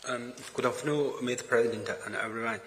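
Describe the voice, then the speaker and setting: A man's voice: medium pitch, fairly even intonation, a little fast, fairly bright tone. A man from a company giving a short introduction with fillers. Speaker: company staff. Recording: reverberant meeting hall.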